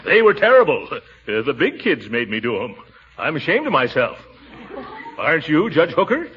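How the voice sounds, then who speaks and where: Blustery uncle, wildly swooping voice. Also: male voice, fairly high pitch, wildly swooping pitch, moderate pace. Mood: indignant, flustered. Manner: theatrical, indignant, hearty chuckling. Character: blustery, pompous, mischievous middle-aged uncle. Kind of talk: 1940s radio comedy acting. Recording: noisy, muffled 1940s radio recording.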